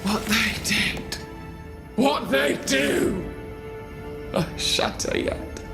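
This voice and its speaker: A woman's voice: mid-range voice, expressive, extremely slow, music in the background. An actress playing a shattered, vengeful female spirit villain in a video game, ominous and imperious. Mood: wounded, defiant. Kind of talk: dramatic video game acting.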